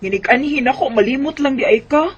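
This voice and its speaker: A woman's voice: high voice, quick pace, highs cut off, a little hiss or hum, natural voice. A woman with a Cebuano Filipino accent playing an insistent young woman confronting an old lover in an amateur radio drama. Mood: hurt, insistent.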